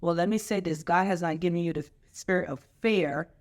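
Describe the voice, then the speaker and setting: A woman pastor hosting a casual chat, coaching her guest with lively, repetitive emphasis and preacherly turns of phrase. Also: lowish voice, brisk. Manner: preacherly scripture quoting. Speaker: pastor and show host.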